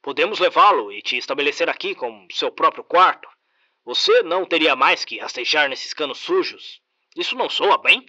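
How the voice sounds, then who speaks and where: A man voicing a calm male researcher who reasons patiently with a creature. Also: a little high, moderate pace, no room sound, dull audio, exaggerated voice.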